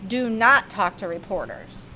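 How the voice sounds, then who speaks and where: A woman's voice: mid-range voice, very animated, unhurried, background noise. A woman voicing a stern, proper middle-aged father and president in a storybook, firm and admonishing. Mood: stern warning.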